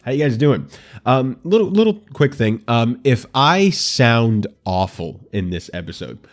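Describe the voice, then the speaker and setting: A man's voice: medium pitch, very animated, unhurried, soft background music. A man hosting a casual anime commentary show, congested and nasal from allergies, with a dry throat, chatting straight to his viewers. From United States.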